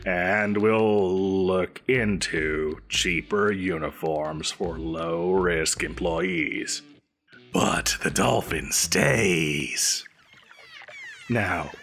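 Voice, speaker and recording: A man's voice: sits low, slow pace, fairly bright tone, husky, soft background music, exaggerated voice. A man playing a petulant, theatrical supervillain boss in a comedic audio roleplay, with a pompous, melodramatic manner. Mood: grudging, exasperated. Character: petulant, theatrical male supervillain boss, grandiose but exasperated.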